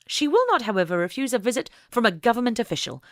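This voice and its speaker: A woman narrator voicing a bold, determined younger female government agent, brisk and formal, in an audiobook. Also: fairly high pitch, sing-song, no room sound, clean studio sound, pitched above the performer's own voice. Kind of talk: audiobook character dialogue.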